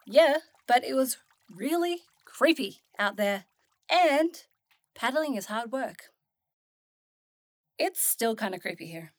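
Young traveller, female actor, fantasy audio drama: chatty, curious, easily unsettled; slightly high voice, very dry recording. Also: expressive, takes it slowly, pauses often, no echo. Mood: uneasy. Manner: informal, chatty, reactive. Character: Charlie, casual, curious, uneasy young human traveller.

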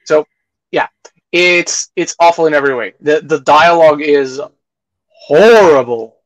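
A man hosting a podcast, chatting casually through film reviews, haltingly repeating phrases and acting out imagined reactions. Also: fairly high pitch, no echo, rough recording. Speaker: podcast host reviewing films.

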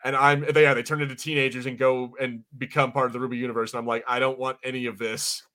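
A man with a bright, punchy voice of average pitch co-hosts a podcast. He talks in loose, opinionated banter. His phrasing is blunt and informal. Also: level tone, fast. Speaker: podcast co-host.

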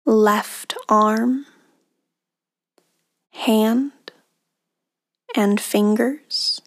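Woman, meditation guide, extremely slow pacing, hushed and breathy, soothing, slightly high pitch, long pauses. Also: steady tone. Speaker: meditation guide, podcast host.

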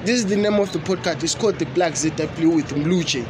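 A man with a high voice hosts a loose podcast chat. He talks in an animated way and slips between English and isiZulu slang. Clear outdoor noise runs underneath. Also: a little fast. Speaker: podcast host.

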